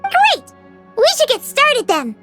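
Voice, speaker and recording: Over loud music, a tiny, childlike fairy sidekick from an anime game. The voice is extremely high and squeaky, and it bounces up and down with eager, earnest cheer. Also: female voice, slow, exaggerated voice. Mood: excited. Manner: eager, chirpy, expressive. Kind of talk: anime-style video game voice acting.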